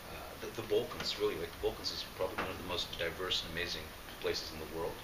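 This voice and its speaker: Interview guest, man, very low voice, rapid talker, distant mic in an echoey hall. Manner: fast, animated, many restarts and fillers. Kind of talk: casual interview conversation. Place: large roomy event space. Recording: echoey room, distant mic, background noise.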